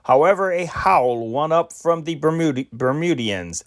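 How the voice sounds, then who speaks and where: A man with a voice pitched a bit higher than most reads out old newspaper dispatches one after another. His delivery is steady and unpolished, with occasional slips where he restarts a word. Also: normal speed. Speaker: news reader.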